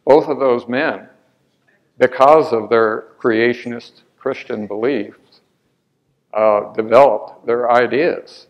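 A man's voice: mid-range voice, lo-fi sound. An older man answering audience questions after a lecture, hesitant with many fillers and restarts, on a lapel mic in a large hall.

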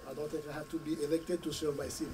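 Hoarse man speaking at a podium. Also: on the high side, flat delivery, crowd noise. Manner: earnest, short phrases with pauses. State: hoarse with a cold. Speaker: school committee member. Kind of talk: community meeting speech. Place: community meeting room. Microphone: podium mic, camera at a distance. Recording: distant mic with faint room noise.

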